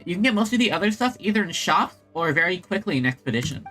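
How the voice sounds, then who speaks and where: A woman's voice: fairly low pitch, sing-song, quick pace, a bit bright, faint music behind. A woman giving casual, rambling and chatty commentary while playing a video game as a streamer.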